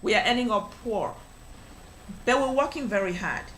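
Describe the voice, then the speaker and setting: A woman's voice: medium pitch, animated, normal speed, a bit bright, slight background noise, low-quality recording. A woman from Cameroon, a financial professional, answering interview questions in an earnest, explanatory way with many fillers.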